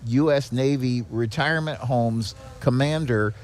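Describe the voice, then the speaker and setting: A man's voice: mid-range voice, a little slow. A man speaking as an interview guest, explaining things in a conversational, storytelling way with small hesitations. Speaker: podcast guest, nonprofit executive director. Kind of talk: casual explanatory interview talk.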